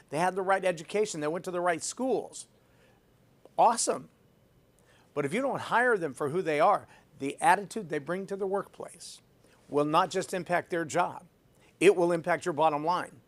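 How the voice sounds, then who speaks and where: A man's voice: high-pitched, lively intonation, normal speed. Emphatic man giving a leadership talk. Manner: emphatic, repeats phrases for effect; self-described loud voice. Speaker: podcast host. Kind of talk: leadership talk with personal story.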